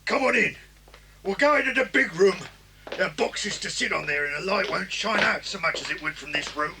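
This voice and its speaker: An actor playing a gruff adult crook who leads a gang, barking orders in a menacing way. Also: male voice, high-pitched, expressive, fairly quick, background noise. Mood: furtive.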